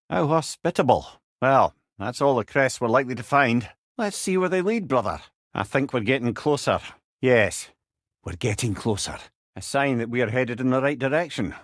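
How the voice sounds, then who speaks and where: A man's voice: medium pitch, no room sound, studio quality. A man with a Scottish accent playing a witty, learned older companion, a talking head in a video game, wry and eloquent with old-fashioned wording. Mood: dryly amused, then watchful, musing. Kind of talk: video game character dialogue.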